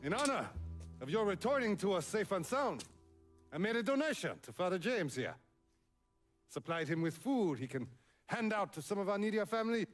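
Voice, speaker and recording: Stern old boss, swinging pitch. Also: male voice, very animated, normal speed, faint music behind. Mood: genial, insistent. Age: older. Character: stern, protective old father and neighbourhood boss. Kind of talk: cinematic video game acting.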